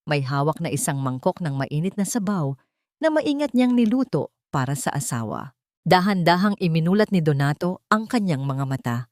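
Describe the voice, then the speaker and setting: Low-voiced woman narrating a drama. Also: big pitch swings, moderate pace, darkish tone, dry recording, clean studio sound. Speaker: audiobook narrator. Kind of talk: scripted dramatic story narration.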